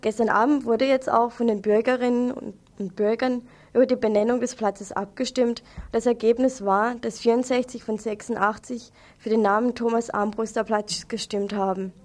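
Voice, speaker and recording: A woman's voice: on the high side, fairly even intonation, normal speed. A woman presenting local radio news, reading from a script.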